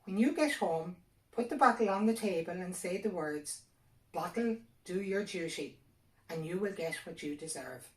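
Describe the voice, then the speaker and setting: A woman's voice: on the low side, lively intonation, moderate pace, distant mic, natural voice. Storybook old fairy man, played by a woman: tiny, sly, menacing, needling, recorded in an echoey room. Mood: commanding. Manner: pushy, needling bargaining.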